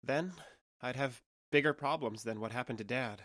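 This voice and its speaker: A man's voice: medium pitch, moderate pace, a bit bright, no room sound, clean studio sound, lightly acted. A man plays a young alien fugitive passing as human in an audio drama. The character is earnest and matter-of-fact, with natural, slightly hesitant speech. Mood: uneasy, hesitant.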